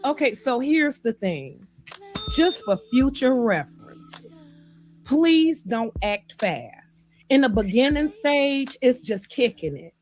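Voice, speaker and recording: A woman giving firm advice on a stream, steady and direct. Her voice sits somewhat high. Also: slow pace. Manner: advising, firm.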